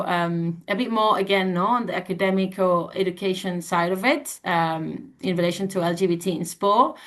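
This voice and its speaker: Lowish-voiced Spanish-accented woman, halting delivery. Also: a bit bright. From Barcelona, Spain. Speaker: panel guest, sports researcher and club member. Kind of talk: informal self-introduction.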